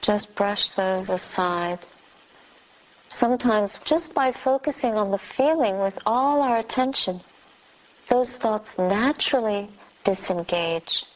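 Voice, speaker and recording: A woman who is a Buddhist nun leading a guided meditation, speaking in short phrases with long pauses. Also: medium pitch, lively intonation, rough recording.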